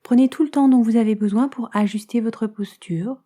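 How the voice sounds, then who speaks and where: Very mellow woman guiding meditation. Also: fairly high pitch. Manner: calm, soothing, gentle instructions with many pauses. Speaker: yoga nidra teacher guiding a relaxation session. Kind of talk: guided meditation.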